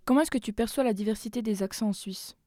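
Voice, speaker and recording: A woman hosting and narrating a street-interview report, putting questions to passers-by in a brisk, lively manner. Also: a little high, dry recording, studio quality. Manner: brisk, lively presenter delivery. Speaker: host and interviewer.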